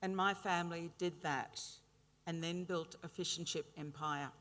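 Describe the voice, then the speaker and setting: A woman's voice: lowish voice, fairly even intonation. Middle-aged Australian woman in a hall. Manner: warm humorous anecdotes, measured delivery. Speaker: graduation ceremony speaker. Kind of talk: formal ceremonial speech. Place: large indoor graduation hall. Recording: reverberant ceremony hall.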